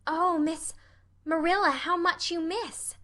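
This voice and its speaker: A woman's voice: very high-pitched, fairly even intonation, slow pace, bright, forceful tone, pitched above the performer's own voice, exaggerated voice. A woman voicing an earnest, dreamy young orphan girl in an audiobook, effusive and imploring. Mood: wide-eyed. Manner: effusive, wordy, dramatic. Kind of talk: audiobook character voice.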